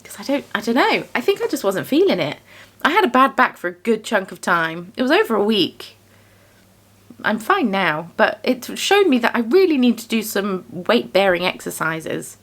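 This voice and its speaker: A woman's voice: mid-range voice, very animated, moderate pace, a bit bright. A young woman talking through her craft work in a rambling, self-deprecating, chatty way. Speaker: yarn dyer and small business owner. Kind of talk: casual chatty vlog voice-over. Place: home craft studio.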